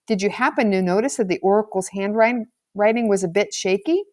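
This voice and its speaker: A woman's voice: medium pitch, normal speed, a little mellow, dry recording, lightly acted. A brash schoolboy from a storybook, voiced by a woman, full of slang and bluster. He boasts and justifies himself at every turn. The sound is close and dry. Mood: boastful. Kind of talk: storybook character voice.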